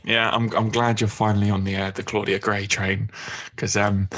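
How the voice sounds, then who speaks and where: A man co-hosting a podcast, chatting in a relaxed way with small restarts. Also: fairly low pitch. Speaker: podcast co-host. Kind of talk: casual podcast chat.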